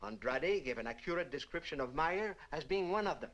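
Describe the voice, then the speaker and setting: An actor playing a formal, precise official delivering a manhunt report in a 1950s film. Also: male voice, fairly high pitch, animated, fairly quick, fairly bright tone. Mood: businesslike, official.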